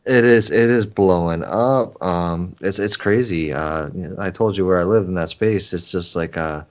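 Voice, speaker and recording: A man with a very deep voice talks in an easygoing, earnest way as a podcast guest, with plenty of ums and uhs. The recording sounds a little dull and muffled. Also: measured pace, darkish tone. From Philadelphia area. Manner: easygoing, filler-heavy, earnest. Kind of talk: casual chat.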